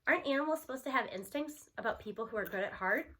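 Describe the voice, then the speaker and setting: A woman's voice: fairly high pitch, expressive, fast, bright, forceful tone. An American woman reading a children's novel aloud, telling it expressively in the first person as a teenage narrator. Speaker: storybook reader.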